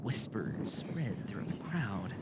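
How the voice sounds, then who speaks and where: Animated male narrator, noisy recording. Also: on the low side, takes it slowly. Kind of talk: scripted fantasy audio drama narration. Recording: clearly audible background noise.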